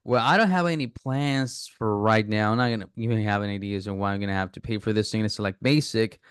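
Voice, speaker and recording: A man's voice: on the low side, fast talker, a bit bright, smooth, no echo, clean studio sound. A man casually talking viewers through signing up for an app, thinking aloud with fillers and restarts. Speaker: app reviewer. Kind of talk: casual screen-recording walkthrough.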